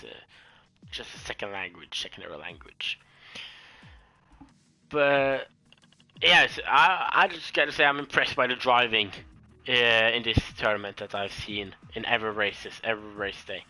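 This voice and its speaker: A man's voice: lowish voice, measured pace, bright, forceful tone, poor audio. A man with a Norwegian accent, a second-language English speaker, doing solo live commentary of a sim race, hesitant with many fillers and self-corrections.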